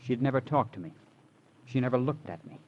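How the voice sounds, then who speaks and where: A man's voice: mid-range voice, lively intonation, fairly quick, lightly acted. An actor playing a polite, earnest, easily wounded young man in 1950s radio drama style. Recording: muffled, hissy old radio recording.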